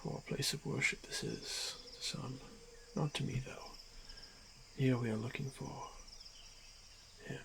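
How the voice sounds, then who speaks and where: Man acting a stoic orc warrior, slow and measured. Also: medium pitch, fairly even intonation, creaky, background noise. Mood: solemn. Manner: measured, halting, terse phrases with solemn pauses. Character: stoic orc warrior hunter, protective and confident. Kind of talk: ASMR fantasy roleplay.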